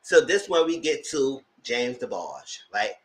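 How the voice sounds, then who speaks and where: A man with a light, high-pitched voice, chatting casually as host of a live documentary review and greeting viewers. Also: big pitch swings, measured pace. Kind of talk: casual live-stream commentary.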